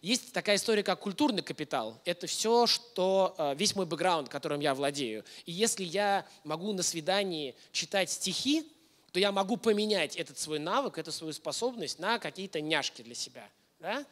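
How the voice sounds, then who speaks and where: A man's voice: high-pitched, lively intonation, moderate pace, fairly bright tone. A man, likely in his thirties, lecturing conversationally to an audience in an informal way, often putting questions to his listeners. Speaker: lecturer giving a masterclass. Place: indoor hall, on stage before a projection screen.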